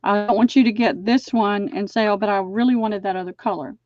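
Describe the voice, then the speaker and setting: A woman's voice: mid-range voice, steady tone, a little fast, darkish tone, no echo. A middle-aged woman from Georgia with a Southern accent, hosting a casual live sale and chatting folksily as she shows and measures fabric. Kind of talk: casual live-stream selling. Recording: dull, dry lo-fi stream audio.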